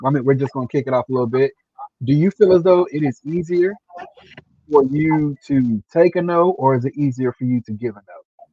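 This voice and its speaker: A man hosting a casual interview over a video call, earnest and halting, often repeating himself. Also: mid-range voice, husky, no echo. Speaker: host interviewer. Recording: dull lo-fi stream audio.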